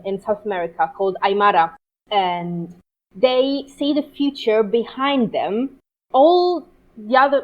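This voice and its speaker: A woman with an Italian accent, a translator being interviewed, talking in halting bursts with many restarts. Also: a little high. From Italy. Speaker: guest translator. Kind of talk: interview over a call. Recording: dull lo-fi call audio.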